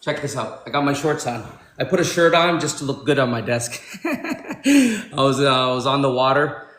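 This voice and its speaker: A man's voice: medium pitch, very animated, normal speed, fairly bright tone. Man in his 40s from pakistan, chatty livestream host, bro this my man that, echoey room. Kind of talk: casual livestream chat. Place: room at home.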